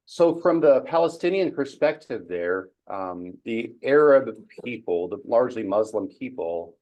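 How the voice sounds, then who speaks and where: Halting low-voiced man teaching a class. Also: darkish tone, low-quality recording. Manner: halting, many ums and restarts. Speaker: church study group leader. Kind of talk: casual teaching talk.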